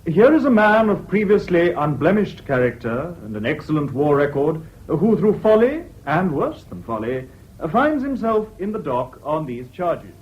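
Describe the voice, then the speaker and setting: An actor playing an earnest, deferential British defence barrister in a radio drama, courtly, formal and a little hesitant. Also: male voice, on the high side, big pitch swings, normal speed. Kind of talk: BBC radio drama acting. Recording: dull lo-fi old radio recording.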